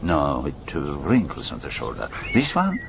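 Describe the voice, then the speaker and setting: An actor playing a shrewd, methodical foreign detective in a radio drama, deliberate and courteous, musing aloud in rhetorical questions. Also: male voice, a bass voice, wildly swooping pitch, full character voice. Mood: pondering, explaining. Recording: dull radio recording, clearly noisy background.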